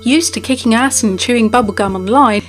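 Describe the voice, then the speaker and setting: High-voiced woman narrating a game profile. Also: a little fast. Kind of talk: scripted narration. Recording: music behind.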